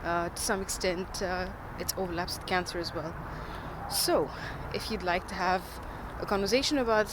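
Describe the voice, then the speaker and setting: Earnest speaker over loud traffic noise. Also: mid-range voice, steady tone, normal speed. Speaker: diabetes researcher and podcaster. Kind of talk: informal spoken monologue, explaining.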